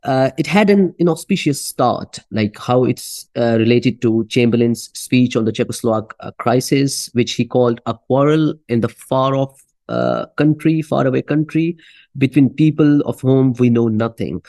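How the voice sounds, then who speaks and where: A man hosting a podcast asks polite, halting questions full of fillers. His voice is mellow and dark, and the recording is dry and close. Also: mid-range voice, dry recording. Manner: hesitant, many fillers, polite. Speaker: podcast host. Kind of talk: interview questions.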